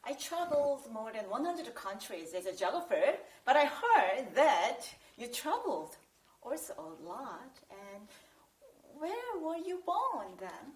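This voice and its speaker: A woman with a Korean accent, a native Korean speaker, hosting a chatty interview in an animated way. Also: high voice, unhurried. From South Korea. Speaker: host, interviewer. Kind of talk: chatty talk-show interviewing. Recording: roomy echo with noticeable background noise.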